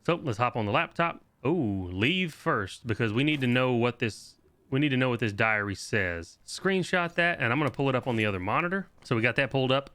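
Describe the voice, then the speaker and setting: Bright-voiced man guiding through a game. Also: medium pitch, no room sound. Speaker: gaming video host. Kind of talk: casual game walkthrough commentary, reading game text aloud.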